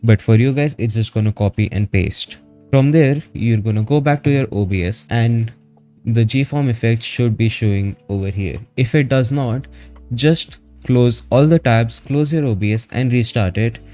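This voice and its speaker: A man narrating a step-by-step software tutorial in a casual, instructional manner, as a streamer would. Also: medium pitch, moderate pace. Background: background music.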